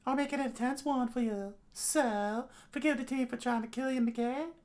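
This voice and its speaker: Man putting on a high, breezy, teasing young woman. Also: fairly even intonation, normal speed, darkish tone. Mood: coy, teasing.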